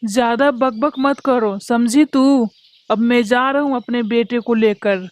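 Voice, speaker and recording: Woman as a highish-voiced cartoon father bird. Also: fairly even intonation, measured pace, no room sound, lightly acted. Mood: cold, dismissive. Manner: stern, dismissive. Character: harsh, cold father bird who favours his son. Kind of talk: Hindi cartoon voice acting.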